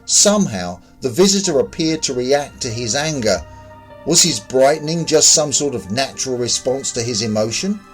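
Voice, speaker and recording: A man narrates a piece of fiction in a scripted storytelling style. His voice sits at a middle pitch for a man, with faint music underneath. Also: expressive, normal speed, low-quality recording.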